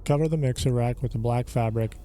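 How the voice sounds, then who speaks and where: A man's voice: medium pitch, brisk, dark tone, a little hiss or hum. A man giving matter-of-fact, step-by-step instructions as a scripted how-to narration. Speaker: sound technician giving setup instructions. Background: music playing under the voice.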